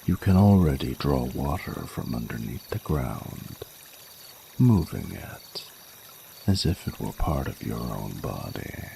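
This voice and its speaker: A man's voice: very deep voice, very animated, vocal fry, warm tone, slight background noise, heavily acted. A man voicing a flirty, courtly male dragon in a romantic audio roleplay, speaking formally and soothingly in intimate ASMR style. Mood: admiring, encouraging. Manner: formal, flowery, unhurried, soothing speech. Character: ancient-sounding flirty male dragon, tender, courtly lover.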